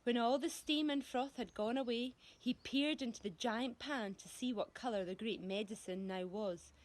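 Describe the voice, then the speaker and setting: A woman reading a story to schoolchildren expressively, giving descriptive words dramatic, deliberate emphasis. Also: on the high side.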